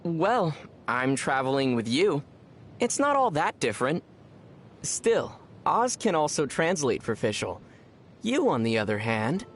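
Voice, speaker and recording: A man playing a young traveler hero in a video game, calm and measured, with a wry, teasing manner. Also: a little high, slight background noise. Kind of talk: English video game voice acting. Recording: music behind the voice. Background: music.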